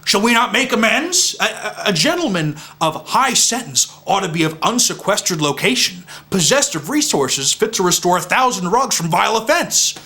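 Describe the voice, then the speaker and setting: A man's voice: mid-range voice, animated, normal speed, bright, forceful tone. A man playing a blustering, hot-tempered knight in a pompous mock-Shakespearean dramatic recitation. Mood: indignant, heated. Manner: declamatory, pompous, emphatic.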